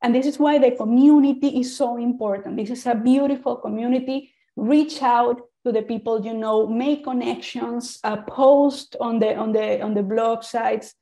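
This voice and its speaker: A woman's voice: fairly high pitch, fairly even intonation, normal speed, hardly any pauses, mellow. A woman from Venezuela with a Venezuelan Spanish accent, giving an informal presentation in an earnest way and often restarting and repeating words. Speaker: speaker on usability testing.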